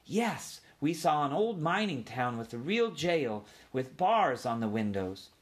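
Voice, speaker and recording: A man's voice: on the high side, sing-song, measured pace, pitched above the performer's own voice. A man voicing a friendly young boy with an eager, plain-spoken manner, in a children's story.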